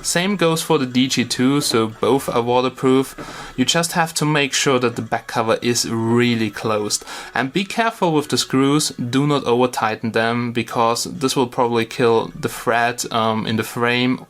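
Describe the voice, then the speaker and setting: A man's voice: mid-range voice, unhurried. A man giving a casual hands-on product review in an informal, matter-of-fact way. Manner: informal, matter-of-fact, occasional mild swearing. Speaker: tech reviewer and presenter. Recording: clearly noisy location recording.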